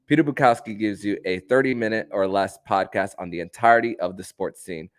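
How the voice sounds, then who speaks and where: A male sports podcast host with a low voice, recorded close and dry. His conversational delivery can be halting, with stammered restarts. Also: no room sound. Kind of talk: conversational hosting and sign-off.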